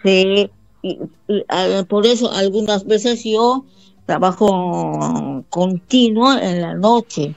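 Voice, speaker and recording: A woman from Bolivia taking part in a call-in conversation, talking in halting, repetitive phrases with affectionate warmth. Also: mid-range voice, creaky, no echo, dull audio, faint music behind. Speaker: caller. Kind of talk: phone-in conversation.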